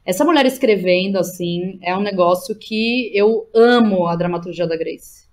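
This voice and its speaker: A woman's voice: mid-range voice, moderate pace, a little mellow. A woman with a Brazilian accent speaking casually as an interview guest, colloquial, effusive and emphatic. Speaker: podcast guest, playwright and educator.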